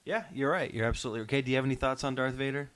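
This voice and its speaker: A man's voice: medium pitch, fairly even intonation, very fast, fairly bright tone, clear, resonant tone. A man hosting a casual podcast discussion, talking in an explanatory and encouraging way. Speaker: podcast host.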